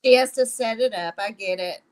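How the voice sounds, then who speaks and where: A woman's voice: on the high side, brisk. A woman chatting casually as a livestream guest, familiar in manner and free with pet names.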